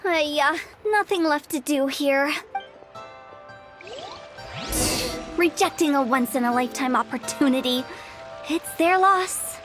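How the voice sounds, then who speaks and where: A woman's voice: high voice, moderate pace, music in the background, natural voice. A woman playing a mischievous, eager young funeral parlor director in a video game, with pushy, theatrical sales patter. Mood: huffy.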